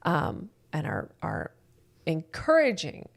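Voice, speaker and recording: Woman interviewer, slightly low voice. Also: huge pitch swings, slow, vocal fry. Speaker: podcast interviewer. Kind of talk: conversational interview.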